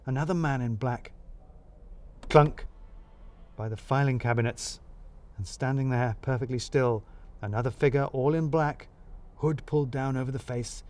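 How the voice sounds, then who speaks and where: A man narrating a scripted comic mystery in the first person, wry and expressive. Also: medium pitch. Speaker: narrator of a serial mystery story.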